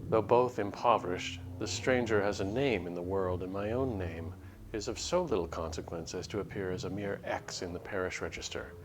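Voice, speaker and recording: A man livestreaming a game, chatting casually and reading story text aloud in a measured, literary way. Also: deep voice, background noise. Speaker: game streamer. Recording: background music under the voice. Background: music.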